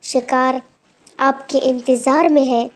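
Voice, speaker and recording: A woman's voice: high voice, fairly even intonation, slow. A woman voicing a sly, scheming female fox in a children's moral story. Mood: scheming, urging.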